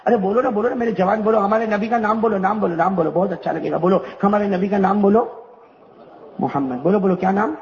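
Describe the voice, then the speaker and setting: Male preacher, very high voice, repetitive rhetorical style, muffled lo-fi recording. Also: fast talker, darkish tone. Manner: repetitive, rhetorical, calls out questions to the crowd. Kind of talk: religious speech to a gathering. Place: religious gathering. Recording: dull lo-fi recording.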